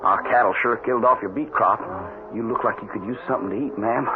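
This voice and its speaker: An actor playing a kindly, protective young tenderfoot cowhand in an old radio western, polite, folksy and eager. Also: male voice, mid-range voice, fast, lightly acted. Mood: kindly, reassuring. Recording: muffled, noisy old radio broadcast.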